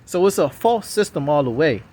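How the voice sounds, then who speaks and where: A man's voice: a little high, very animated, fairly quick, darkish tone, husky. A man giving a casual, rambling monologue to camera outdoors on city streets, repeating himself insistently. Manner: repetitive, insistent, circles back to the same points. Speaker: vlogger.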